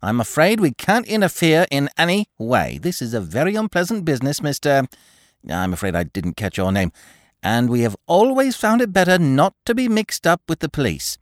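A man's voice: a little high, very animated, moderate pace, no echo, studio quality, voice pushed up. A man voicing a prim, disapproving older woman in an audiobook reading, with an affected, prissy way of speaking.

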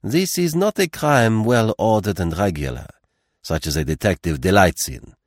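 A man's voice: lowish voice, expressive, moderate pace, no room sound, clean studio sound. A man voicing a fussy, dignified Belgian detective with a French accent and a precise, theatrical, philosophical manner, in a mystery audiobook.

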